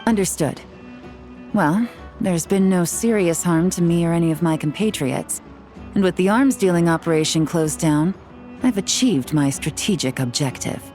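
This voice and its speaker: An actress playing an unruffled, pragmatic strategist in a video game, matter-of-fact and detached. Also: female voice, fairly low pitch, expressive, moderate pace, darkish tone, soft background music, natural voice. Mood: cool, satisfied. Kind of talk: video game character acting.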